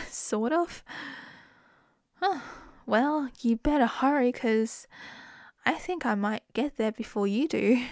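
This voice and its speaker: A woman's voice: a little high, darkish tone, dry recording. A woman with an Australian accent in a close-mic ASMR roleplay as a wife, playful and giggly.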